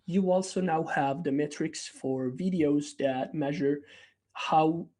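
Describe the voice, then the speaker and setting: Husky man with an Italian first language. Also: fairly high pitch, slow, mellow. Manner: conversational, many fillers and restarts. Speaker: developer relations presenter. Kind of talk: technical product update talk.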